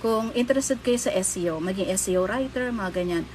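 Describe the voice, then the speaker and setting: A woman's voice: mid-range voice, fast, darkish tone, a little hiss or hum. Blunt Filipino woman hosting a livestream. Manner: blunt, candid, swears casually. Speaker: livestream host and career coach. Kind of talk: casual livestream chat.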